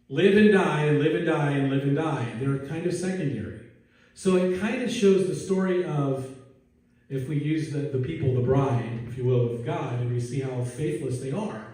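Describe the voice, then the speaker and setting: A male pastor preaching a relaxed, joking sermon and asking his congregation questions, recorded in a church sanctuary from a distance. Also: a little high. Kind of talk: conversational sermon. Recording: echoey hall, distant mic.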